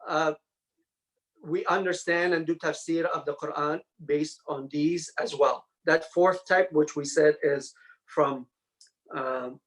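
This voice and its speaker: A man with a slightly high-pitched voice lectures on religion in a scholarly style. He explains in measured, halting phrases, building each point piece by piece. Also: a bit bright, poor audio.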